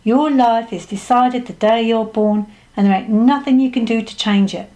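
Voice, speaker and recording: A woman's voice: on the high side, normal speed, warm tone, natural voice. A woman voicing a blunt, theatrical older woman psychic who pronounces fortunes colloquially in a storybook reading. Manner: colloquial, pronouncing, calls listeners dear.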